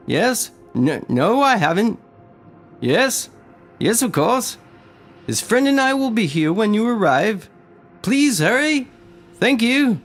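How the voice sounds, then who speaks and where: A man's voice: high voice, creaky, full character voice. A man playing an older small-town family doctor in a radio horror drama, speaking in an earnest, dramatic way. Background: faint music.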